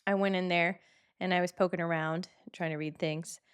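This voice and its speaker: Lower-voiced woman cohost, playful, chatty, tells stories. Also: steady tone, normal speed, smooth. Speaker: podcast co-host. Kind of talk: casual podcast chat.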